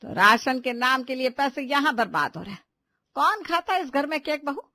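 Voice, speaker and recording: A woman's voice: high voice, animated, moderate pace, pushed and bright. A woman voicing a strict, suspicious household matriarch in a family story, scolding and domineering. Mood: indignant. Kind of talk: animated Hindi family story dialogue.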